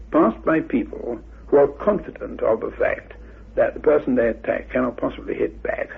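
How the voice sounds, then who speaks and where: A man speaking in an unscripted interview, formal, deliberate and emphatic, in long halting sentences. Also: mid-range voice, creaky, breathy edge. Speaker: interviewed researcher. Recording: muffled, narrow-band old radio interview.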